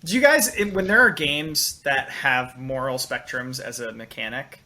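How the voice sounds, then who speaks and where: A man's voice: mid-range voice, normal speed, crowd noise. A man chatting casually as a panelist in a podcast discussion.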